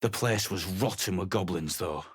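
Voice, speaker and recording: Gruff sellsword, husky, low-voiced, dry studio sound. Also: male voice, normal speed, fairly bright tone, no echo. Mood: surly. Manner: surly, contemptuous. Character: gruff rough-spoken male mercenary leader. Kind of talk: video game voice acting.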